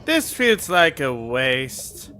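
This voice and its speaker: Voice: medium pitch, sing-song, drawn-out words, bright, forceful tone, slight background noise. A game streamer giving casual, incredulous livestream commentary, grumbling and swearing.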